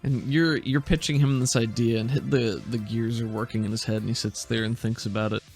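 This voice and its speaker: A man's voice: mid-range voice, steady tone, a little fast, darkish tone, faint music behind. A man working as game master, narrating a tabletop role-playing game in a casual, improvised way.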